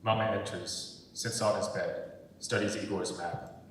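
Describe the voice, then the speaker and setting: Young male stage-direction narrator, lowish voice, long hall reverb, scripted delivery. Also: fairly even intonation, a little slow. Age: young adult. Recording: very echoey hall, distant mic, faint rumbling noise.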